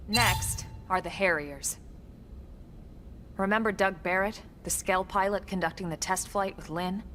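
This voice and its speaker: An actress playing a composed, no-nonsense female military officer in a video game, speaking in a crisp, measured, dry way. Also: mid-range voice, background noise, lightly acted. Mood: measured, explaining. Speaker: voice actor. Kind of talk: scripted video game cutscene acting.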